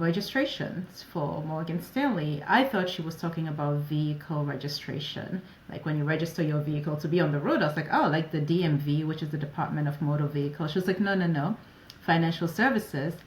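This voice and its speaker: A woman in her forties whose first language is French, from Ivory Coast and Ghana, telling stories lively as an interview guest. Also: low-pitched. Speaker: guest, operations advisor. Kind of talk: casual interview answers. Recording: echoey room.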